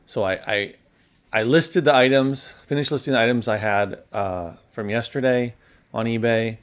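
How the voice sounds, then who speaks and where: A man's voice: mid-range voice, normal speed. A man talking casually and off the cuff as a reseller, rambling along with trailing asides. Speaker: online reseller and bookstore owner. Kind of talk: casual chat.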